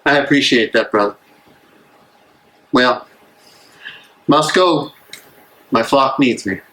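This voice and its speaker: A man acting an earnest, weary American minister of the 1930s in a live dramatic reading, speaking emphatically and deliberately. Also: mid-range voice, bright, forceful tone, low-quality recording. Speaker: actor in a dramatic play reading.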